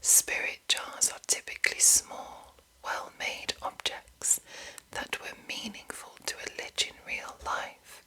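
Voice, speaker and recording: A woman whispers in a slow, deliberate ASMR reading. Her voice is all breath with hardly any voiced tone, and the whisper has a crisp, bright edge. She enunciates carefully, as a host presenting fantasy lore. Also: rough recording.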